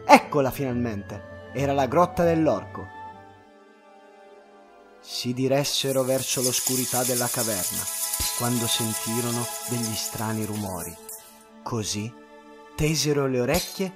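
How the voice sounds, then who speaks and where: A man narrating a children's story in an expressive, scripted storytelling style. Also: mid-range voice, measured pace, pauses often, over music.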